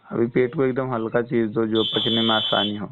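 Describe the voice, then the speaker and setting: A man's voice: on the low side, flat delivery, normal speed, very noisy. A man giving casual, reassuring health advice as a counsellor on a phone consultation. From West Bengal, India. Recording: muffled, band-limited phone call recording.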